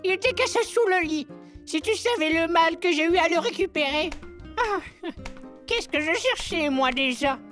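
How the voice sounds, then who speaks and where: A woman's voice: extremely high pitch, lively intonation, moderate pace, fairly bright tone, soft background music. An actress playing a forgetful, fussy older lady who leads a community in a radio play. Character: scatterbrained older woman leader, Madame Meda.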